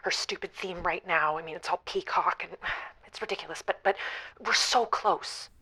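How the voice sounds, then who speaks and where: An actress playing a nervous bridesmaid caller who talks in a hushed, hesitant way. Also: female voice, lowish voice, moderate pace, breathy edge, exaggerated voice, poor audio. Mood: anxious. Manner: hushed, hesitant, lots of ums. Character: anxious young woman bridesmaid hiding.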